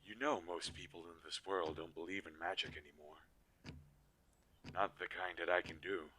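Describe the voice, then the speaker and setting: A man playing a weary, protective magical inventor in an audio drama, speaking earnestly with halting pauses. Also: steady tone, bright, forceful tone, poor audio. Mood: grave, worried. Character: weary, protective male inventor-mage, creator of living dolls. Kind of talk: scripted audio roleplay drama. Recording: low quality, audible background hiss.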